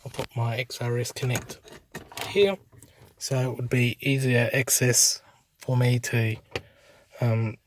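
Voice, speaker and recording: An Australian man giving a casual hands-on installation demo in halting, unscripted short phrases. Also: mid-range voice. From South Australia. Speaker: amateur YouTube presenter. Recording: noisy amateur handheld recording, clicks.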